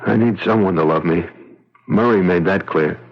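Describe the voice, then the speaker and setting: An actor playing a blunt, agitated husband, a driven and haunted talent agent, in old-time radio drama style. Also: male voice, low-pitched, moderate pace. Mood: haunted, pleading. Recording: dull vintage radio recording.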